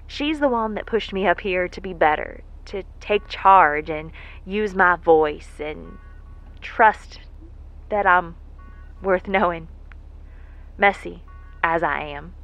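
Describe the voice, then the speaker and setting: A woman playing an earnest, vulnerable worker recording a heartfelt message in an audio drama, speaking in a folksy, halting, reflective way. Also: on the low side, a bit bright. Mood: tender, hopeful. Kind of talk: intimate monologue in an audio drama. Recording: muffled lo-fi audio with music behind. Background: music.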